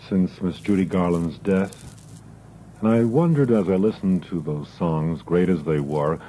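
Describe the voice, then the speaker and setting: A low-voiced man presents a music show on an old radio recording with faint background noise. His tone is very dark and mellow. He phrases things in a measured, earnest way, like a devoted collector talking to listeners. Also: poor audio. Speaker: radio music programme host.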